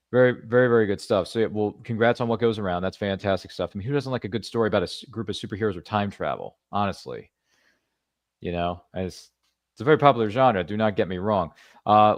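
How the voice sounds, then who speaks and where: A man's voice: lowish voice, no echo, studio quality. A man hosting a podcast interview, chatty and enthusiastic, heaping praise on his guest. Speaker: podcast host. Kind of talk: casual interview chat.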